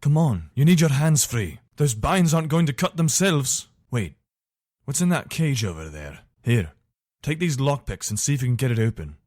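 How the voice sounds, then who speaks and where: A man's voice: mid-range voice, big pitch swings, normal speed, darkish tone, no room sound, studio quality. A man acting an earnest, dutiful fantasy soldier. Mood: wary, practical. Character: dutiful, weary but decent male imperial soldier. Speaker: voice actor for a game mod. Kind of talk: fantasy video game character dialogue.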